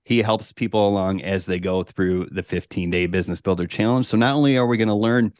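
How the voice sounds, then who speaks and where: A podcast host with a low voice and a dry, close sound, introducing his guest with upbeat, salesy enthusiasm. His delivery sounds like a practiced show opening. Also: fairly quick, a little mellow, no echo. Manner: upbeat, promotional.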